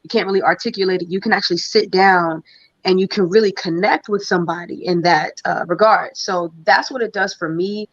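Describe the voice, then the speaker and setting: Measured, reflective woman, muffled dry sound. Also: medium pitch, no room sound. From New York. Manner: reflective, thoughtful, measured with fillers. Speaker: singer guest. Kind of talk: casual interview talk.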